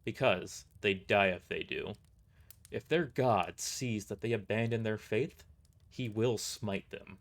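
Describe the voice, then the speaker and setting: A man as a weary, grave mercenary boss. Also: fairly low pitch. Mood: grave, explanatory. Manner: measured, grave, matter-of-fact.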